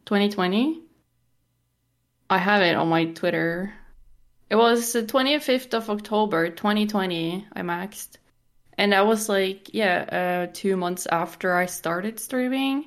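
Slow-paced young Norwegian woman. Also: mid-range voice. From Norway. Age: 20s. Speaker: podcast guest, game streamer. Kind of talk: casual chat.

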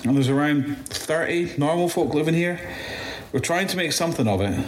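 A man playing a shaken, bitter apocalypse survivor in an audio drama monologue, halting, stammering and swearing as he recounts his ordeal. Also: a little high, animated, lightly acted. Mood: subdued, relieved. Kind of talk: first-person audio drama monologue, confessional. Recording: roomy echo, faint background noise.

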